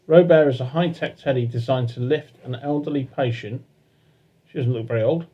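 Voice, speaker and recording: A man co-hosting a casual chat show, reading articles aloud with hesitant pauses. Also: mid-range voice, lo-fi sound. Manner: hesitant, fillers while reading. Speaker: podcast co-host.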